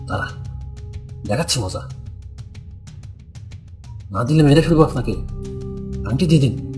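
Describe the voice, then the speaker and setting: An actor playing a greedy, callous, lecherous villager and killer in a horror cartoon, exclaiming and muttering theatrically. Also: male voice, medium pitch, lively intonation, a little slow, darkish tone, soft background music, lightly acted. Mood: frustrated, threatening.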